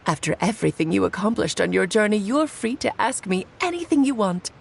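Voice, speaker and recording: A woman's voice: mid-range voice, expressive, a little fast, creaky, a little hiss or hum. A woman voicing a playful, chatty, self-assured goddess in a video game, breezy and theatrical. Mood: breezy, explanatory.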